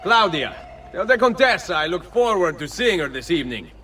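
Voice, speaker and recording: An actor playing a confident, charming Renaissance nobleman assassin in a video game, speaking in a suave, measured Italian accent. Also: sits high, sing-song, sharp tone, faint music behind, exaggerated voice. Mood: warm, decisive. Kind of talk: video game cutscene acting.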